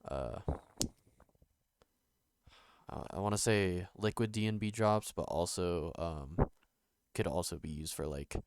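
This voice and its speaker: A young man with a nasal voice, recovering from being sick, talking casually through a music production tutorial with many pauses and fillers. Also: darkish tone, smooth, no echo, studio quality. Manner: halting, many ums and restarts, low-key. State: recovering from illness. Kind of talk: casual screen-recorded tutorial.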